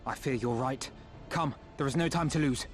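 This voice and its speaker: A man's voice: mid-range voice, moderate pace, lightly acted. An actor playing an earnest, noble young man in a video game, formal, articulate and resolute. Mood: grave urgency. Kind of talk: video game cutscene acting. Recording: music behind the voice.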